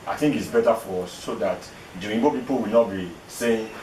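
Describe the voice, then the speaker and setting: A man's voice: lowish voice, brisk, a little mellow. A man interviewing an elder, speaking deferentially and calling him sir. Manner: deferential, says sir, short backchannels. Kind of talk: interview questions. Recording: echoey room from a distant mic, faint noise.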